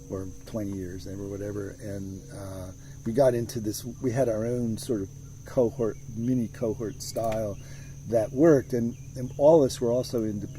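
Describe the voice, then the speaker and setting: A man with a lowish, very mellow voice talks through his music at an easy, rambling pace, often circling back and restarting his sentences. He is recorded outdoors in the countryside, with crickets faintly audible behind him. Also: a little hiss or hum, poor audio. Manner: thoughtful, rambling, many restarts. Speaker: musician interviewee. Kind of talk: relaxed conversational interview.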